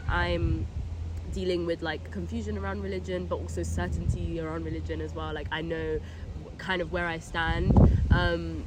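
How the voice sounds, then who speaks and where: A young woman who is a native Somali speaker, talking about her work in a relaxed conversation. She talks thoughtfully and fills it with "like" and restarts. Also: fairly low pitch, heavy background noise. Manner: chatty and thoughtful, many "like" fillers and restarts. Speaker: guest, zine maker. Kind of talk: casual conversation.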